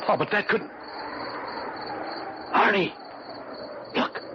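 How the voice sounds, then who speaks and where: An actor playing a nervous young man, a worried friend on a lake trip, in an expressive, jittery old-time radio horror style. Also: high-pitched, slow pace. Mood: anxious. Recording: noisy, dull old radio recording.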